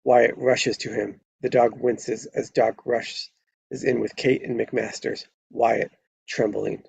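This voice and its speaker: A man's voice: mid-range voice, level tone, slow, creaky, darkish tone, husky, no echo. A man narrating a screenplay at a live table read, stumbling over words now and then and correcting himself.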